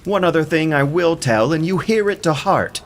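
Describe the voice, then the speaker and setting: A man playing a proud, furious young Greek warrior, declaiming with biting, deliberate scorn in an audio drama of an ancient epic. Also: on the high side, animated, natural voice. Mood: defiant anger.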